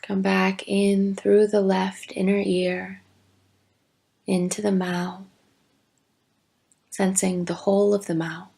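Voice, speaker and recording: A woman teaching yoga nidra, giving gentle, deliberate guided meditation instructions with long pauses. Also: medium pitch, little pitch movement, creaky, breathy edge. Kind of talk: slow guided meditation narration.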